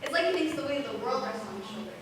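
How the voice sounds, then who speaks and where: In a noisy, reverberant hall, a young woman plays a sweet and candid girl in a school play. Her voice is high, and she hides self-blame under good cheer. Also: lively intonation, racing. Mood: playful. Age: teens or young adult. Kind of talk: school stage play acting. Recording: echoey noisy hall from far off.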